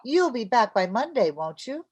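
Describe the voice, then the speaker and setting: A woman playing an excitable, chatty, scheming housewife in an old-time radio comedy, with a theatrical manner. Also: fairly high pitch, husky. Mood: brisk. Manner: theatrical, quick to jump to conclusions.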